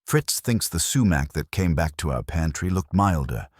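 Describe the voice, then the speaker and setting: A man voicing a wry, quick-witted detective's assistant in a scripted story, deadpan. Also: very deep voice, husky, no echo, clean studio sound, full character voice. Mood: pointed.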